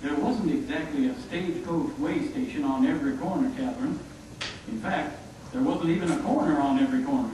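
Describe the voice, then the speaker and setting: A man voicing a folksy, gentle frontier father in a puppet show, telling things earnestly. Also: a little high, expressive, a little fast, lightly acted. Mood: sheepish. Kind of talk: scripted church puppet show dialogue. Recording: echoey room, distant mic, faint noise.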